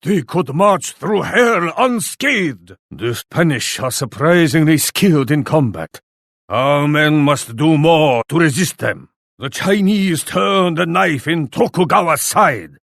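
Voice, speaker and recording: Proud warlord, dramatically swooping pitch. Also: male voice, expressive, takes it slowly, vocal fry, fairly bright tone, no echo, studio quality, full character voice. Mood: grim, alarmed. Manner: solemn, declamatory, speaks of himself in third person. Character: proud, grim Japanese warlord commanding his armies. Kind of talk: theatrical video game voice lines.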